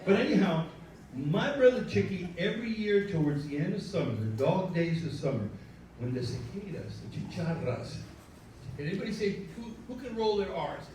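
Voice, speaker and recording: A man with a Cuban accent welcoming a live audience as producer, loose and self-deprecating, halting with fillers. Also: high voice, animated, a little mellow, a little hiss or hum. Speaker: show producer. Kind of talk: rambling welcome speech. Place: live stage before an audience. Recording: echoey room, mic from far, audience.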